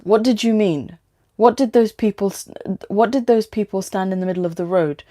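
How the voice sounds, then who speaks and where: A woman's voice: mid-range voice, normal speed, mellow, voice pushed up, close to an everyday voice. A woman reading a story aloud as a frightened, irritable, demanding female passenger, with a London British accent. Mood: confused, irritable. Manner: pleading, demanding.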